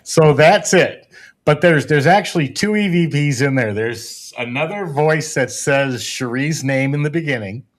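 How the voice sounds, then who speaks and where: A man's voice: on the high side, lively intonation, unhurried. A man talking casually and explaining things as a paranormal investigator and guest.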